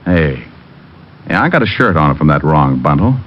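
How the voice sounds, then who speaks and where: A man's voice: very deep voice, sing-song, normal speed, exaggerated voice. An actor playing a sardonic, cocky private detective in 1940s radio style, wisecracking his way through dialogue and narration. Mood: wry, probing. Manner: sarcastic, wisecracking. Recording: muffled old radio recording with music.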